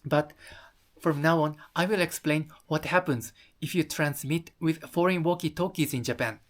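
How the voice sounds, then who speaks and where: A presenter explaining things to viewers in halting, careful English with non-native phrasing. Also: medium pitch. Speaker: video presenter.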